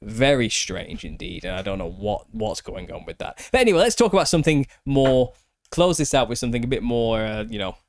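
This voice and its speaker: A man's voice: mid-range voice, big pitch swings, fairly quick. Chatty Northern English man on a podcast. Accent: Northern English, Lancashire. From northwest England. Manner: chatty, rambling, self-deprecating, laughs often. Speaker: podcast host. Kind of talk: casual chat.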